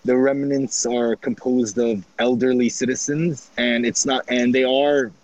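Man recounting a trip, measured. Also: medium pitch, steady tone, a little mellow, no room sound, poor audio. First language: Armenian. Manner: measured, with frequent restarts.

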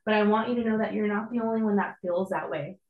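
Young woman, slightly hoarse, encouraging conversational preacher, roomy echo. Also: medium pitch, quick pace, narrow, tinny audio. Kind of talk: devotional sermon.

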